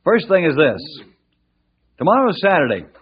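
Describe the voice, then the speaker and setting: Upright American mentor, animated delivery. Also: male voice, a little high, moderate pace. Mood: firm, persuasive. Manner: earnest, moralizing, reassuring. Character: patient, upright man mentoring a boys' gang. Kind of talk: old-time radio drama acting. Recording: muffled old radio recording.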